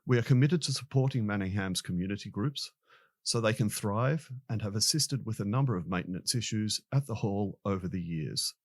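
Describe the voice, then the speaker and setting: A man's voice: fairly low pitch, animated, fairly quick, dry recording, studio quality. A man reading a prepared official statement aloud for a news article. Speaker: volunteer reader of quoted statement.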